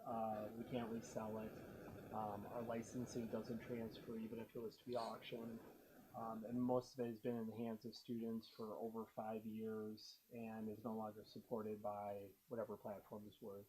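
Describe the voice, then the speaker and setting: A man from district technology staff giving a relaxed, informal update to a school board. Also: medium pitch, a little hiss or hum, poor audio. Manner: relaxed, laughing lightly, informal.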